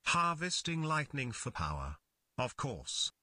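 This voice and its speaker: A man's voice: medium pitch, sing-song, unhurried, sharp tone, no room sound, studio quality, exaggerated voice. An actor playing a thoughtful, inquisitive man in audio fiction, musing to himself with emphasis. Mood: pondering. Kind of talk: audio fiction character dialogue.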